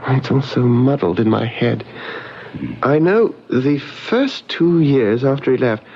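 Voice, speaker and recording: A man playing a nervous, reclusive English gentleman, halting, formal and fretful, in old-fashioned radio mystery acting. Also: medium pitch, expressive, a little hiss or hum. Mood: melancholy. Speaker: radio drama actor. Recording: muffled vintage radio recording.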